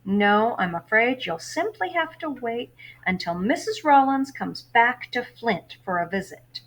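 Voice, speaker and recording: A woman's voice: on the high side, lively intonation, measured pace, voice pushed up, low-quality recording. A woman reading a children's novel aloud as a kindly, matter-of-fact librarian with precise, formal wording. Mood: regretful, firm.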